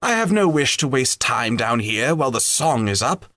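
A man's voice: medium pitch, sing-song, moderate pace, bright, forceful tone, no room sound, clean studio sound. A man voicing a band of merry young male elves who tease and jeer at the butler. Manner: jeering, jovial.

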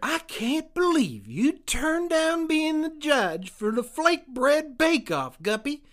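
A man voicing an eager, gullible cartoon townfish who loves food, with a folksy, excitable way of talking. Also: very high-pitched, takes it slowly, full character voice. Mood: delighted. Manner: folksy, excitable, chuckling nervously or gleefully. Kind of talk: cartoon audio drama acting.

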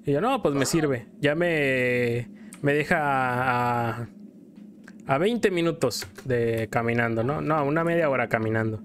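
A man's voice: medium pitch, unhurried, a bit bright, slight background noise. A man with a Mexican accent telling a story in casual, colloquial style while commenting as a gameplay host. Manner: colloquial, acts out quotes in passing.

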